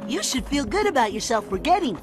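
An actor voicing an excitable, teasing young male opossum in a video game cutscene. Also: high voice, brisk. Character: hyperactive cocky young male opossum prankster. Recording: music under the voice.

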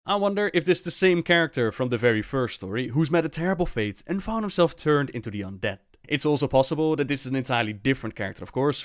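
Mid-pitched man narrating stories. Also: no echo, studio quality. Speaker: narrator, channel host. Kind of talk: dramatic storybook narration.

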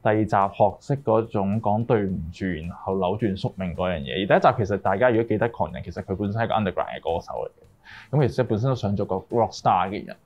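A man's voice: lowish voice, animated, fast talker, a little mellow, dull audio. A man with a Hong Kong accent explaining his work in an interview as a director, mixing in English words. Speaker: music video director. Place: small indoor room, group interview, through a face mask.

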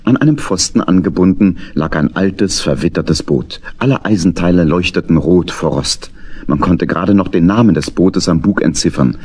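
A man's voice: deep voice, moderate pace, darkish tone, husky. A man narrating a scripted children's story as the narrator of an audio play.

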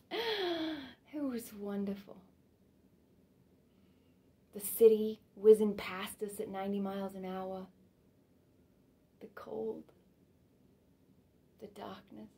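A woman performing a stage monologue as a wistful older mother who reminisces romantically, in a dramatic way that moves from hushed to exclaiming. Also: mid-range voice, lightly acted.

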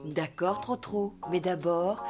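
A woman's voice: lowish voice, slow pace. A woman voicing an affectionate, teasing mother donkey in a cartoon, talking in a doting sing-song. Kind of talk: cartoon voice acting. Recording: loud music behind the voice.

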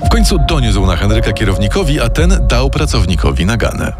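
A man reading a scripted true-crime story in a measured, dramatic storytelling manner. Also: lowish voice, big pitch swings. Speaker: narrator. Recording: music playing underneath.

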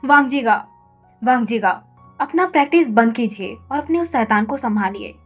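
A woman's voice: a little high, moderate pace, a little mellow, slightly rough, soft background music. A woman voicing a hot-tempered young husband and mother who scolds in a raised voice. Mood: scolding.

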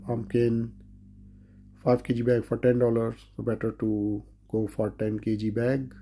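Gravelly-voiced man touring a grocery store. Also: mid-range voice, little pitch movement, measured pace, warm tone, faint music behind. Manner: plain, listing items and prices. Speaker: vlogger. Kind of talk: grocery store walkthrough narration. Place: large grocery store, indoors.

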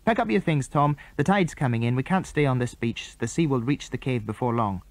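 A man's voice: mid-range voice, animated, a little fast, no room sound, lightly acted. A man with a British accent voicing a sensible, cautious older boy in a children's storybook, firm and matter-of-fact.

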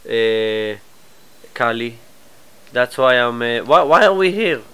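Guy streaming a game w a stuffed up nose, bright clear voice, mumbles off at the ends. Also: medium pitch, lively intonation, slow. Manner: casual, muttering asides, trailing off quietly. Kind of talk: live gameplay commentary.

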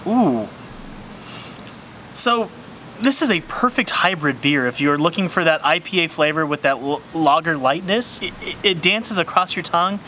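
A man's voice: fairly high pitch, expressive, moderate pace, bright, forceful tone, a little hiss or hum. A young man hosting a casual beer review on an outdoor brewery patio, describing flavors with enthusiasm and stumbling over repeated words. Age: young adult.

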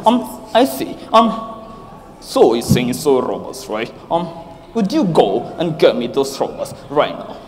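A man playing a flustered, indignant head of the household in a comic stage play, halting pompously with constant ums. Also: medium pitch, sing-song. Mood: skeptical. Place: large auditorium stage. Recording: echoey hall, noisy audience, from stage.

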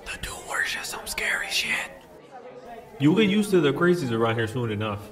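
Friendly military veteran, male actor: jovial, nosy, informal audio drama delivery, medium pitch, faint crowd behind. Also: big pitch swings, normal speed, a bit bright. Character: chatty, friendly veteran special ops major.

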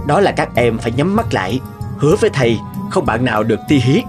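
Man voicing a calm schoolteacher, lively intonation. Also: mid-range voice, natural voice. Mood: calm, firm. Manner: measured, instructive. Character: calm, fair male schoolteacher. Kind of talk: cartoon dubbing. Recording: clear music behind.

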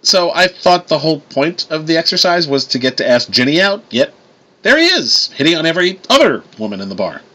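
A man reading the part of a blunt, sarcastic, sharp-tongued woman in an audiobook story. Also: fairly high pitch, big pitch swings, brisk, pitched above the performer's own voice, full character voice. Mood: dry. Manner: sardonic, blunt, sweary. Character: sharp-tongued sarcastic woman, self-described fake goddess.

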